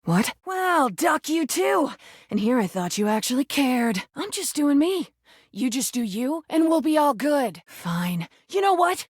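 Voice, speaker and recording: A woman's voice: high-pitched, big pitch swings, unhurried, no echo, clean studio sound. A woman voicing an angry, wounded female rubber duck in a video game, blunt and defensive but easily made vulnerable. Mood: angry, defiant. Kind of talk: video game character acting.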